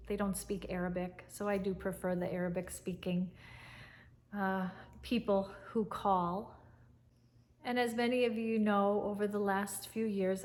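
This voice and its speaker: Woman, 50s, gentle English teacher, mid-pitched voice, slow and reflective home chat. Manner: warm, soft-spoken and unhurried, with fond reflective pauses. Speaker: English teacher, channel host. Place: living room by a fireplace, indoors. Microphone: camera at chest height, seated.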